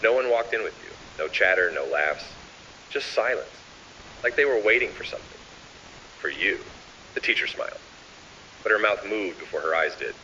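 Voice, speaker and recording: Dull, hissy recording, male horror narrator, bright tense edge, expressive intonation, ominous deliberate delivery, scripted and addressed to the listener. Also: deep voice, recorded from a distance.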